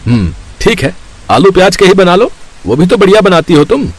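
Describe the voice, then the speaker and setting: An actor playing a mild, hesitant, accommodating middle-class husband and younger brother in a scripted moral story. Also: male voice, sits high, sing-song, a little fast, husky, dry recording, heavily acted, poor audio. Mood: easygoing.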